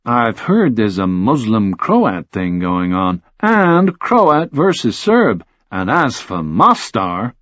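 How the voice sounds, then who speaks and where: A man's voice: lowish voice, very animated, slow pace, hardly any pauses, a bit bright, no echo, full character voice. Man voicing easygoing, drawling American journalist, slightly muffled. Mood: frank, eager. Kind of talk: audiobook character dialogue.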